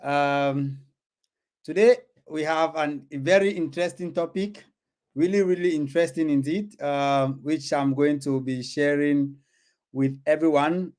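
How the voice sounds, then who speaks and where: A man's voice: a little high, a little slow, a little mellow. A man hosting his own channel, hesitant and filler-heavy as he introduces an online interview. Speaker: channel host.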